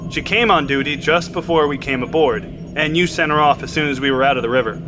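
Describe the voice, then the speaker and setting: A man's voice: fairly high pitch, quick pace, background noise, close to an everyday voice. An actor playing a loyal, exhausted submarine sailor who speaks respectfully to his captain. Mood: dutiful. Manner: respectful, dutiful, frequent "sir". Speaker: sailor, coxswain. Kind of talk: audio drama acting.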